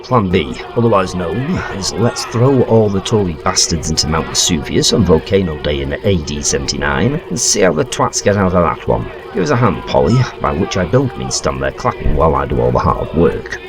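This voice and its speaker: A man's voice: sits low, sing-song, a little fast, hardly any pauses. A man playing a pompous, insulting adventurer in a comedy audio drama, with a theatrical, colourful way of talking. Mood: bossy. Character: pompous, insulting male time-travelling adventurer.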